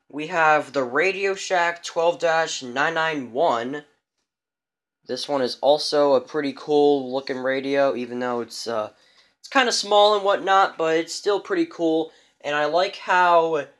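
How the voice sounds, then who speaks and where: A man giving a casual show-and-tell as a vlogger, rambling and halting as he goes. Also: a little high, fairly bright tone. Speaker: vlogger showing a radio collection. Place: indoors in a room at home.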